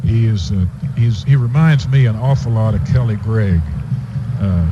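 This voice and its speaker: Male color commentator, fairly deep, unscripted and conversational, on a dull radio feed with loud background noise. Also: normal speed. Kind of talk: unscripted sports analysis. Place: stadium radio booth. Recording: noisy dull radio broadcast from stadium.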